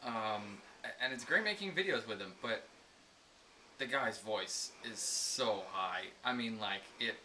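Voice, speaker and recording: Young man, bright voice, halting, jokey, faint noise behind. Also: on the low side, expressive. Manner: halting, many restarts, jokey. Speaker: video creator. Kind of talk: mock interview banter.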